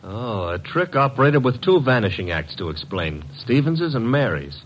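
A man's voice: medium pitch, sing-song, moderate pace, natural voice. An actor playing a wisecracking, brash detective's legman in an old radio drama, wry and colloquial. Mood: wry, amused. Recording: noisy vintage radio drama recording.

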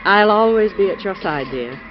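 Woman playing a lively, genteel American first lady. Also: medium pitch, normal speed, faint music behind, lightly acted. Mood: fond, teasing. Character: devoted, gently teasing president's wife, easily distressed. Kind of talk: old-time radio drama acting. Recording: dull, noisy old radio recording.

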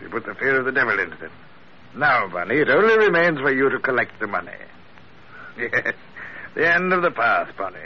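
Grandiose gentleman villain, swooping delivery. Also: medium pitch, big pitch swings, a little fast, long pauses, husky. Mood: gloating. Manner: florid, formal, grandiose speech. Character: theatrical, gloating gentleman blackmailer, male villain. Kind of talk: classic radio drama acting. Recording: muffled old radio broadcast, faint hiss.

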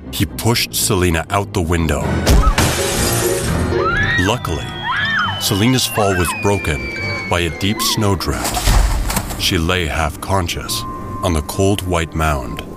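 A male narrator with a low voice tells the story of an audio drama. His scripted delivery is dramatic, set over a clear music bed.

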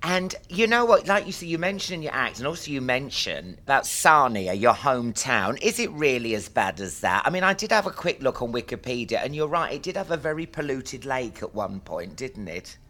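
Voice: medium pitch, sing-song, fairly quick, hardly any pauses, pushed and bright. A host in casual chat with a cheeky, teasing manner. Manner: cheeky, teasing, mock-posh.

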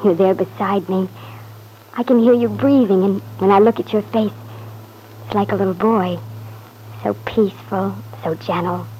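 An actress playing a devoted, anxious young wife in an old-time radio drama, pleading earnestly. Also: female voice, medium pitch, fairly even intonation, moderate pace, pauses often, dark tone, a little hiss or hum, close to an everyday voice, poor audio. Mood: tender, troubled. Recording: husky-sounding vintage radio recording.

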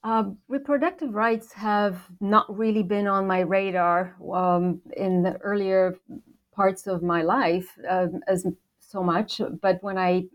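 A woman in her sixties from Poland, a professor answering interview questions thoughtfully, with many pauses, fillers and restarts. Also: medium pitch, fairly even intonation, muffled recording. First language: Polish. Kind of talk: academic interview.

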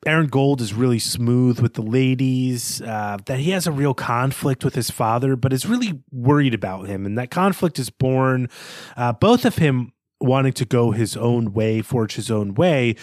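A man's voice: medium pitch, normal speed, darkish tone, no room sound, studio quality. A man co-hosting a podcast, explaining and thinking aloud in a conversational literary discussion. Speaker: podcast co-host.

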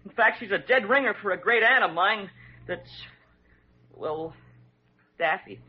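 A dim, folksy new father in vintage radio drama style. His high, rough-edged voice frets naively and hurries along. The recording is old and dull. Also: lively intonation, a little slow, heavily acted. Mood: worried. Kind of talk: 1940s radio comedy-drama acting.

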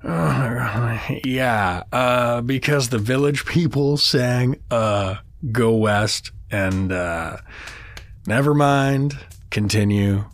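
A man playing a stubborn, bumbling middle-aged dad with corny jokes in a comedy audio drama, blustery and defensive. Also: mid-range voice. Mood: awkward. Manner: blustery, defensive, halting when awkward.

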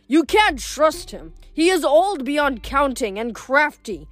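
A woman voicing a malicious ancient male ghost king who talks in a slow, sneering, menacing way. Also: high voice, very animated, voice pushed up, full character voice.

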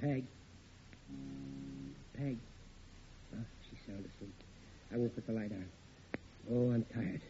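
An actor playing a bumbling, folksy American working-class husband who grumbles in ungrammatical talk on an old radio sitcom. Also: male voice, mid-range voice, slow, long pauses, muffled recording, over music, close to an everyday voice. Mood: tired, then worried. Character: blustery, bumbling working-class family man at an aircraft plant. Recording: thin, noisy vintage radio recording.

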